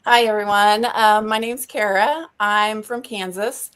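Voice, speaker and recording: Slow, flat-voiced woman in her thirties. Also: mid-range voice, clean tone. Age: 30s. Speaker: panel guest.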